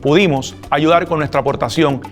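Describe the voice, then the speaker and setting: A man's voice: fairly high pitch, fairly even intonation, brisk. A man with a Puerto Rican accent telling his story in a documentary interview. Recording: music playing behind the voice.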